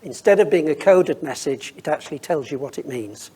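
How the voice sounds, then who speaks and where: A man's voice: fairly high pitch, animated, a little fast, dark tone, husky. A man speaking as an industry expert on a panel, explaining technical points informally and thinking aloud as he goes.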